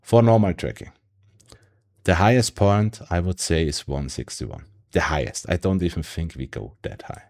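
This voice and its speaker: A man with a German accent and a very low voice walks through price charts in a relaxed, conversational way. He speaks English as a second language, with frequent ums and a habit of ending points with a questioning "yeah?". He sounds like a regular host explaining his method to viewers. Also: moderate pace, gravelly. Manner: tag questions and fillers, yeah, um. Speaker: stock market YouTuber. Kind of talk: casual screen-share market analysis.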